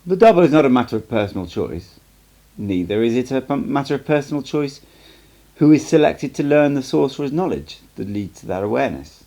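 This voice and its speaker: A man voicing a stern, cryptic old sorcerer teacher in an audiobook, lecturing in a measured, emphatic way. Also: mid-range voice, very animated, mellow, pitched above the performer's own voice. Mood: solemn, emphatic. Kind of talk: amateur audiobook character reading.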